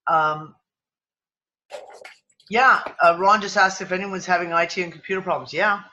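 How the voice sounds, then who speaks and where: A man's voice: high voice, steady tone, moderate pace, bright, forceful tone, lo-fi sound, a little hiss or hum. A man hosting a casual livestream chat, losing his voice and sniffling, who talks in an exclamatory, rambling way and swears freely. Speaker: livestream discussion host. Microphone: computer mic on a video call.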